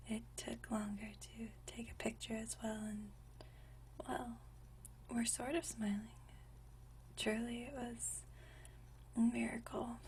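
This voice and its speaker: A woman playing a gentle, nostalgic century-old vampire in a whispered ASMR roleplay, speaking softly and intimately with unhurried, genteel old-fashioned phrasing. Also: a little high, recorded from a distance, a little hiss or hum. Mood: fond, nostalgic. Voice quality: soft breathy whisper.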